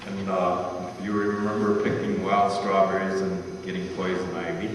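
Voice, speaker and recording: A man's voice: deep voice, completely flat delivery, normal speed. A man informally telling family anecdotes, with folksy conversational asides to his listeners. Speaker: family member co-reading a tribute. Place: large echoey room at a party gathering. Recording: echoey hall from far off, background noise.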